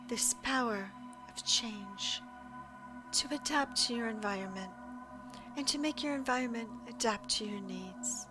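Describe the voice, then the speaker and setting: Slow, regal alien hive queen, played by a woman. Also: on the high side, close to an everyday voice. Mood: persuasive, seductive. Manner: slow, measured, formal, persuasive. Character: alien hive queen, ancient, calm, regal, coaxing, female. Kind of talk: scripted audio roleplay monologue.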